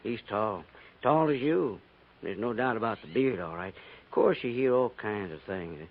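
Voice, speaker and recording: An American actor playing a guarded frontier settler in a 1950s western radio drama, talking in a wry, laconic, folksy way. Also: male voice, on the low side, lively intonation, moderate pace. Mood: matter-of-fact. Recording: noisy, tinny 1950s radio recording.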